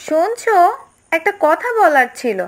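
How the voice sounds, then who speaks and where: A woman's voice: extremely high pitch, big pitch swings, measured pace, exaggerated voice. A woman voicing a patient young boy's mother in a cartoon, reassuring and coaxing. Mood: concerned. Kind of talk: cartoon voice acting. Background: background music.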